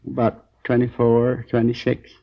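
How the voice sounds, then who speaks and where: An American man playing an injured, groggy storekeeper in his sixties, rambling haltingly and earnestly in a 1950s radio crime drama. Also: medium pitch, completely flat delivery, gravelly. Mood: straining to recall. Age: 60s. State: groggy, in pain. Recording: dull old 1950s radio recording.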